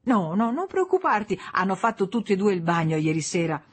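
A woman reading an audiobook voices a young wife being chatty and politely bright on the telephone. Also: medium pitch, very animated, a little fast, husky.

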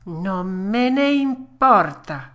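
A woman's voice: medium pitch, lively intonation, extremely slow, fairly bright tone, voice pushed up. A woman voicing a stubborn, whiny little wooden puppet boy in a storybook, childish and dramatic in manner. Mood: defiant, tearful. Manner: wailing, exclamatory, childish protesting. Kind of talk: storybook character voice.

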